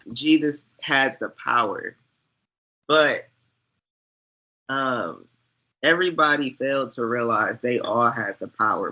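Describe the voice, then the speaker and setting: A man with a bright, forward voice at a middling pitch speaks as a guest in a casual chat. He goes slowly and haltingly, reflecting aloud in winding thoughts. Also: dull audio. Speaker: guest, online movie reviewer.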